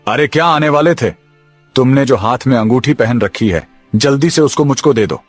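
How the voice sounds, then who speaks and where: A man playing a greedy, excitable younger brother in a village cartoon story, talking in a hurried, agitated way. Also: medium pitch, soft background music, close to an everyday voice. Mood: agitated, demanding. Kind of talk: Hindi cartoon drama acting.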